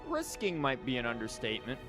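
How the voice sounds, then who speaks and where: A man's voice: medium pitch, huge pitch swings, fairly quick. Male streamer, casual chat, wry jokes, live gameplay commentary, game music behind. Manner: wry, joking. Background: video game music.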